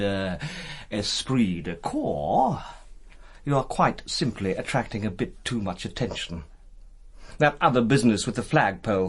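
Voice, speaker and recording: An actor playing a senior British army colonel in a radio drama, courteous and dry, formal and tactfully disapproving. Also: male voice, mid-range voice, close to an everyday voice. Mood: tactful reproach. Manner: measured, formal, wryly euphemistic.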